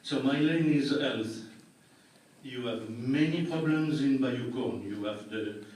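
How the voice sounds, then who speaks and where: A man's voice: medium pitch, slow pace, darkish tone. An older man with a French accent, a public health doctor presenting at a community meeting with dry jokes and plain explanations. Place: large public meeting hall. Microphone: room recording from far off. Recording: echoey hall taped from far off.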